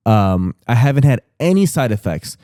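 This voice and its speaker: A man's voice: mid-range voice, big pitch swings, normal speed, mellow, smooth, dry recording, studio quality. A man in his thirties talking casually on a podcast, candid and rambling, with restarts and casual swearing. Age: 30s. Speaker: podcast co-host.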